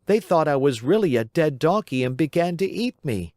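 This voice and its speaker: A man's voice: on the high side, very animated, normal speed, darkish tone, dry recording, studio quality, pitched above the performer's own voice. A man voicing a cheeky young wooden puppet boy in a storybook reading, in a playful, raised voice. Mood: storytelling.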